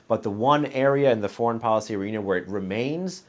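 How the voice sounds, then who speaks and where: A man hosting a panel discussion who makes dense, argumentative points and adds quick footnotes. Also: on the low side. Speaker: host moderating a panel.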